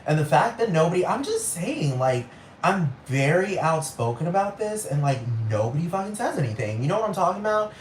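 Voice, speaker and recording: A man's voice: fairly high pitch, big pitch swings, a little fast, slight background noise. A young man with a Puerto Rican accent, hosting a solo podcast in a rambling, profane rant that keeps asking if you understand. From Puerto Rico, raised in Texas. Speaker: podcast host. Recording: roomy room with faint ambience.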